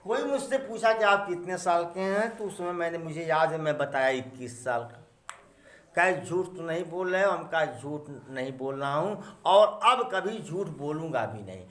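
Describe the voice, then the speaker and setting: A man's voice: fairly high pitch, expressive, normal speed, fairly bright tone. A man of around fifty teaching a math class, counting aloud, questioning his students and adding joking asides. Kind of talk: classroom lesson. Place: echoey classroom. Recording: echoey classroom.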